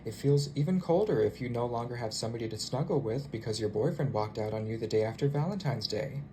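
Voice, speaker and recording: A man's voice: medium pitch, animated, fast talker, warm tone, a little hiss or hum, natural voice. Bitter, sarcastic jilted flight attendant, acted by a man. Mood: wounded, wry. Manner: sarcastic, wounded, emphatic. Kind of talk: theatrical solo monologue as cabin announcement.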